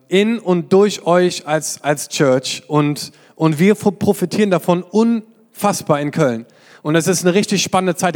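High-voiced young man preaching in a reverberant hall. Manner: enthusiastic, exclamatory preaching. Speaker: guest pastor. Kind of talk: sermon. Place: large church hall. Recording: long reverb of a church hall.

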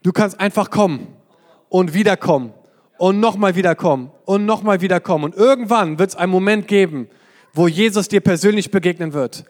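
A man's voice: high voice, a little fast. A young man preaching as a guest pastor, enthusiastic and exclamatory, speaking to a church congregation. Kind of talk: sermon. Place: large church hall. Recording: long reverb of a church hall.

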